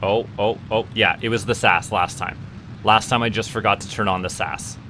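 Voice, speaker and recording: A man's voice: on the low side, steady tone, normal speed, bright, forceful tone, slight background noise. A man from Canada streaming a game, rambling and chatting with viewers and tripping over repeated words. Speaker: VTuber streamer. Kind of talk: casual gaming livestream chat.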